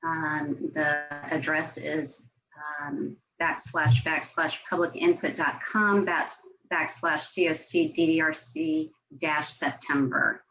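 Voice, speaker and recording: A woman's voice: low voice, steady tone, takes it slowly, bright, forceful tone. An American woman speaking as a city staff member, formally reading out procedure and agenda items at a public online meeting. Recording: muffled online meeting audio, faint noise.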